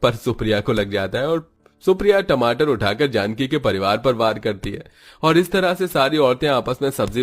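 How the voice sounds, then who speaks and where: A man working as a storyteller, delivering scripted story narration. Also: mid-range voice.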